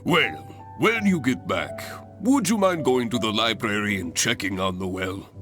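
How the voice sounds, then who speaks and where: Pompous fussy adventurer examiner, hugely animated, theatrical, game character, faint music under it. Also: male voice, fairly low pitch. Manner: theatrical, pompous, wordy formal phrasing. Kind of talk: video game voice acting. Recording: background music behind voice.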